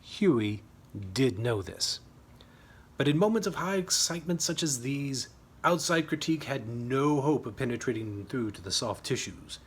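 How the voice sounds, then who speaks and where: A man's voice: medium pitch, lively intonation, moderate pace, breathy edge. A man reading his own short story as a scripted narration, with a wry, tongue-in-cheek manner.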